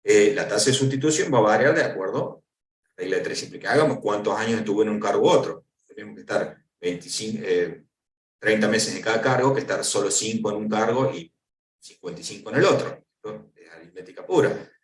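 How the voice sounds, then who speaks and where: A man's voice: on the low side, fairly quick, distant mic. Lecturing Argentine man in an echoey hall. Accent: Argentine Spanish. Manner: didactic, asks and answers own questions. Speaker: lecturer on pension law. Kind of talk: explanatory conference talk.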